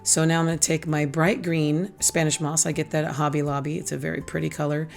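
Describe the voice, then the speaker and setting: A hoarse, raspy-voiced middle-aged woman hosting a casual craft tutorial, chatty and teasing herself as she explains each step. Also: deep voice, flat delivery, a little fast, soft background music. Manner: chatty, self-teasing, laughs at her own jokes. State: hoarse, losing her voice. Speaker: craft channel host.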